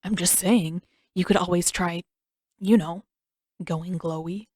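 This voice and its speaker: A woman's voice: on the low side, steady tone, measured pace, a little mellow, slightly rough, no echo, clean studio sound. A woman voicing a sarcastic, worried teenage brother who grumbles and complains. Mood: grumbling. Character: young teen boy, sarcastic worried brother.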